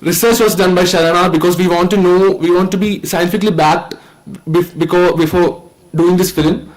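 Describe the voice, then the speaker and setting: Student filmmaker, male, 19, Indian accent, higher-pitched, earnest and halting delivery from a stage. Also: fairly even intonation, rough recording. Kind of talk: informal thank-you speech on stage. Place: event stage at a film festival.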